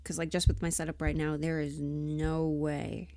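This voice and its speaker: A woman chatting casually on a livestream, thinking aloud in a halting, self-deprecating way, often muttering to herself. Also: low voice, level tone, vocal fry, warm tone, dry recording. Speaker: livestream host, voice performer.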